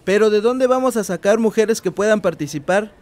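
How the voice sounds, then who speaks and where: A man's voice: high voice, fairly quick, darkish tone, natural voice. A man playing a skeptical, dismissive village official in a radio drama, speaking in a doubting way.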